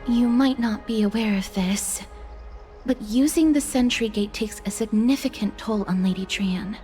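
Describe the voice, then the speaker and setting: A woman playing a gentle, polite young companion in a video game, formal and considerate in manner. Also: a little high, moderate pace, big-room sound, soft background music, lightly acted. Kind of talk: video game dialogue acting.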